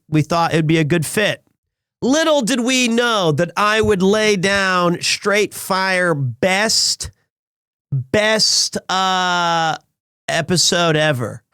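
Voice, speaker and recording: A man in his thirties, chatting casually with viewers on a live stream, slightly raspy and hoarse after being sick, rambling and excitable. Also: sits high, no echo, clean studio sound. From Wales. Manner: rambling, restarts often, excitable.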